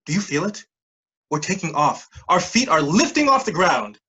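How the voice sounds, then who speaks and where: An actor in a staged play reading playing a grandiose pilot who leads a scheme with ceremonious, motivational speech and a husky voice. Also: medium pitch, big pitch swings, moderate pace, sharp tone, exaggerated voice. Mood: solemn, welcoming. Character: Peter, grandiose pilot leading a pyramid scheme.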